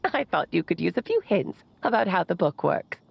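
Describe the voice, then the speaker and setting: A woman's voice: fairly low pitch, very animated, normal speed, vocal fry, slight background noise, full character voice. An actress playing a playful, breezy witch mentor who speaks to children in a theatrical, singsong way, as a recorded message in a fantasy game. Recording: dull, muffled magical voice message.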